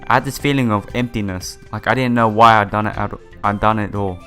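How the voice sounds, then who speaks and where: A man's voice: lowish voice, fairly even intonation, a little fast, pushed and bright. Casual man with music behind him. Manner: stumbles over read lines, sympathetic asides. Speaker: host of a game playthrough. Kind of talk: casual let's play, reading visual novel text aloud with asides.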